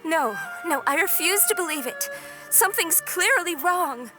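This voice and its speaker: A woman's voice: extremely high pitch, lively intonation, measured pace, music in the background. A woman playing an earnest, agitated young sword disciple in a video game, emotional and insistent. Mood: upset, disbelieving.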